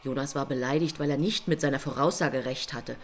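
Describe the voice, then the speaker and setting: A woman's voice: extremely low pitch, fairly quick, a little hiss or hum. A woman playing a small, teasing angel in a radio play, with an ironic, coolly taunting manner.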